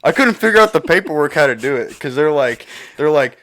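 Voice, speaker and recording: A man's voice: fairly high pitch, lively intonation, a little fast, fairly bright tone. A young American man chatting casually as a podcast guest, rambling through stories with joking, profane slang. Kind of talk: casual chat, rambling storytelling.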